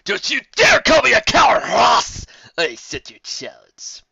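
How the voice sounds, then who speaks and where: A full-grown male griffin commander from a fantasy storybook, played by a woman, boastful and bullying. He booms pompously and mockingly with a forceful edge, on a slightly muffled recording. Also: very animated, a little slow, gravelly, full character voice. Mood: angry.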